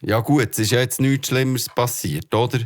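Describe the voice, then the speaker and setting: A man's voice: medium pitch, normal speed, natural voice. An actor playing a kind but worried father, speaking earnestly with a Swiss German accent. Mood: gentle. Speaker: father character. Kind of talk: children's audio drama acting.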